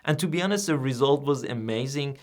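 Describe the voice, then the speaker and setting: A man whose first language is Persian, hosting a software tutorial and explaining each step in a plain, steady way. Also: mid-range voice, fairly quick. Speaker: tutorial host. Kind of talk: screen-recorded software tutorial.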